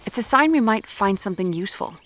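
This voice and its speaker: An actress playing a determined, blunt amateur detective in an audio drama, matter-of-fact and wry. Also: female voice, mid-range voice, lively intonation, fast, fairly bright tone, slight background noise, natural voice. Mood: wry, focused.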